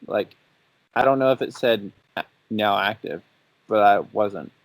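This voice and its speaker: A man's voice: on the low side, measured pace, dry recording, rough recording. A twenty-year-old man chatting casually as a podcast host, with a joking, teasing way of asking questions. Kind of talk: casual chat.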